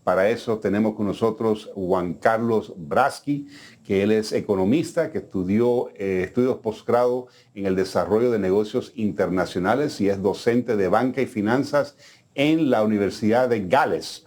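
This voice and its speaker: A man's voice: lowish voice, moderate pace, darkish tone. A man hosting a business news show, talking conversationally with frequent fillers and restarts.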